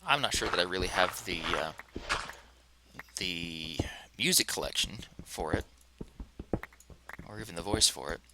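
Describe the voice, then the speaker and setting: A man casually talking to himself as he plays a game, rambling in a relaxed way. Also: deep voice, measured pace, long pauses, background noise. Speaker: let's play host. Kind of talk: casual gameplay commentary.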